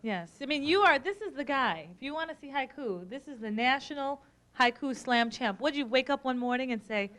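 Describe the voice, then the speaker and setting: A woman hosting a youth show, interviewing casually in a teasing, animated way. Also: high-pitched, fairly quick. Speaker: host of a youth educational show. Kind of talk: casual interviewing with a young audience.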